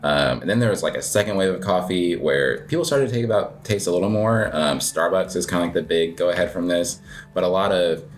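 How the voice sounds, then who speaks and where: A man talks in an easy, rambling way, full of little fillers and restarts. It sounds like a relaxed interview chat. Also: sits low, a little fast, slight background noise. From United States. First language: English. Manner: relaxed, rambling, heavy filler words, self-deprecating laughs. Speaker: guest, cafe owner and coffee competitor.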